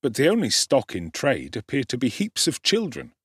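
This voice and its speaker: A man with an English accent giving a measured, articulate, expressive narration of a literary story. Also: medium pitch, husky, dry recording, studio quality. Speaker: audiobook narrator, actor. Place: dry, close studio-like recording.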